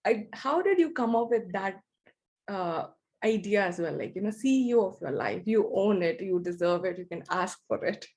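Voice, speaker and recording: A woman's voice: mid-range voice, lively intonation, moderate pace, a little mellow. Chatty woman with Indian roots hosting. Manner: chatty, many fillers. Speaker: host, optometrist. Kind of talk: casual interview chat.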